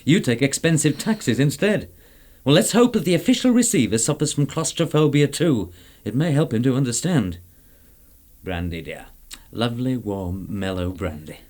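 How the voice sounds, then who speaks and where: Man acting a genial husband, very animated. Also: medium pitch, moderate pace, natural voice. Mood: soothing, coaxing. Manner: jaunty, bantering, old-fashioned idiom. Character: genial, wry, soothing old-fashioned English husband. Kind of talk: vintage amateur radio drama acting.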